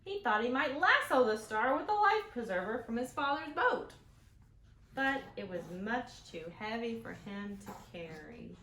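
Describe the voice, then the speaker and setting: A woman hosting a children's storytime, reading aloud expressively and pausing to explain things and ask the children questions. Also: a little high, sing-song, bright, forceful tone. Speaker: children's librarian, storytime host. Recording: roomy echo, some background noise.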